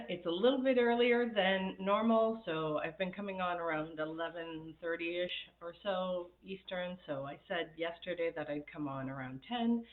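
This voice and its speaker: A woman coaching on a live stream, chatty and laughing often, her voice raspy from a recent illness. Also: on the low side. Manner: chatty, laughs often, reads viewer comments. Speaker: happiness coach. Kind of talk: casual live-stream talk. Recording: tinny phone-like sound in an echoey room.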